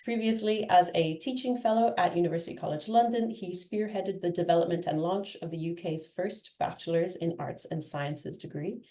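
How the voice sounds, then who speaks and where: A woman hosting a podcast interview, asking questions with warm enthusiasm. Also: fairly low pitch, normal speed, hardly any pauses, roomy sound. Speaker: podcast host.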